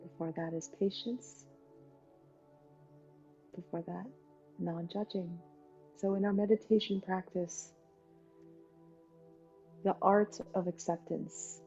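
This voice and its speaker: A woman leading a guided meditation as its teacher, talking in an unhurried way with pauses between short phrases. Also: lowish voice, fairly even intonation, mellow. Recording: dull lo-fi sound, music behind. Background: music.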